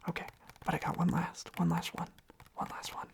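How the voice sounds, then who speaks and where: An ASMR host rambling softly and sleepily in a whisper, often trailing off into repeated phrases. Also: mid-range voice, monotone, brisk, creaky.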